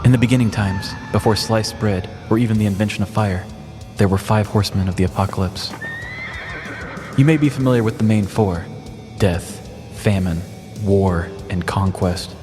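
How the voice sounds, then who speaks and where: A man's voice: lowish voice, normal speed, in a large hall, over music, natural voice. A man voicing an earnest, fearful boy who talks in an ominous, overdramatic way.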